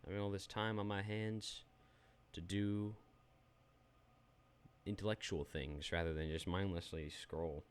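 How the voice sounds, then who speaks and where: A man's voice: sits low, little pitch movement, unhurried, long pauses, smooth. A young adult man giving a rambling, self-deprecating, unedited review of a phone app while sharing his screen.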